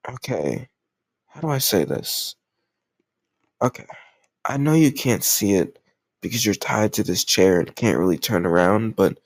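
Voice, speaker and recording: Sweet obsessive kidnapper male actor, medium-pitched with gravelly fry, dry close sound; earnest, awkwardly doting, reassuring, for intimate audio roleplay. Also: no echo. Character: sweet, lovesick, obsessive male kidnapper comforting a captive crush.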